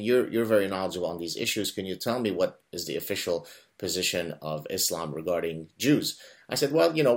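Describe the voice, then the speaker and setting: A man with a fairly low voice hosts a conversation, and his first language is Arabic. He tells his story with emphasis and animation, often stopping to correct himself. His voice rises sharply when he makes a point. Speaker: host.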